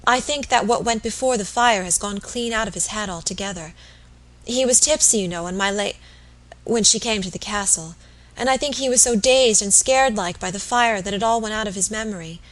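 A woman's voice: mid-range voice, a little fast, fairly bright tone, voice pushed up, lightly acted, low-quality recording. A woman voicing an anxious, deferential young wife in an audiobook, speaking eagerly and earnestly. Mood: eager, confiding. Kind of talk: audiobook character voice.

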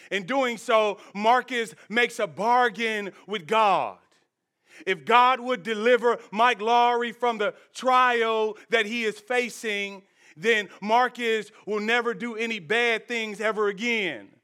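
A man's voice: high-pitched, sharp tone, clean tone. A man preaching a sermon as pastor, folksy and joking, with laughter and asides to the congregation in a church sanctuary.

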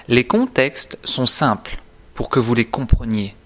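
Slow, deliberate male teacher. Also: mid-range voice, sing-song. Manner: deliberate, carefully articulated, didactic. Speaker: French teacher presenting own course. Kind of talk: scripted instructional narration.

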